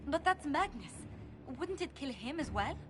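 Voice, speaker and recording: An actress playing an eager, earnest female advisor in a fantasy video game, animated and concerned, with loud noise behind her. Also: high-pitched, moderate pace, music in the background. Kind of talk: dramatic fantasy game acting.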